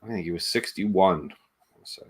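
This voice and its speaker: A man's voice: sits low, a little fast, breathy edge. A man hosting a livestream in a casual, chatty way, wandering between asides and viewer comments. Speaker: livestream show host.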